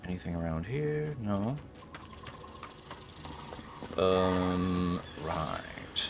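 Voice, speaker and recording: A man's voice: sits low, big pitch swings, drawn-out words, gravelly, background noise. A man doing casual, joking let's-play commentary, chatting to himself and to game characters. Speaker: gaming streamer. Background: video game ambience.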